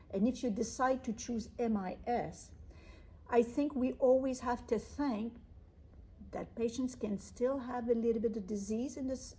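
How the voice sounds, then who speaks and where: Interviewed female medical expert. Soft-edged, husky tone, measured and careful delivery. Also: on the high side, rough recording. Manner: measured, cautious explaining. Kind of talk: interview answers on clinical research.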